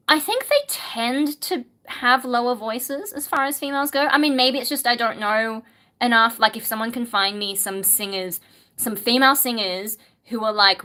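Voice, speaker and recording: A woman talks through viewer questions on a casual livestream. Her voice is bright and forward, sitting in an ordinary middle range. She muses aloud with plenty of ums as she thinks. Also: medium pitch. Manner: chatty, musing, lots of ums, reads chat questions aloud. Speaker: singer and streamer answering chat.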